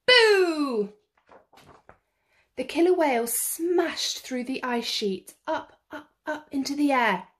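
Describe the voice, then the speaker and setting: A woman reading a children's picture book aloud in an expressive, theatrical way, drawing out the action words. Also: sits high, measured pace, hardly any pauses. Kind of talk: expressive read-aloud storytelling.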